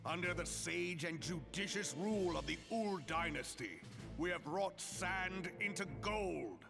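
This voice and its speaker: Grand male desert-city orator for a fantasy game: high-pitched, slow, archaic, declamatory delivery. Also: lively intonation, gravelly, over music, exaggerated voice. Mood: rousing, proud. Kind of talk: theatrical video game speech.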